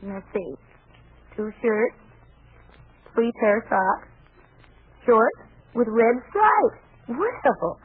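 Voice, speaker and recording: An actress playing a plucky, sarcastic and excitable young woman in a 1940s radio comedy. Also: a little high, takes it slowly, pauses often, breathy edge, heavily acted. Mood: wry, sarcastic. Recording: old 1940s radio recording, phone-like.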